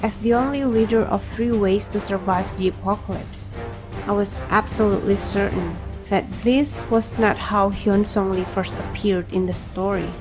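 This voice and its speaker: A woman's voice: medium pitch, fairly even intonation, moderate pace, over music, natural voice, low-quality recording. A woman playing an introspective young reader, narrating his story in scripted first-person audio drama style. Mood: puzzled.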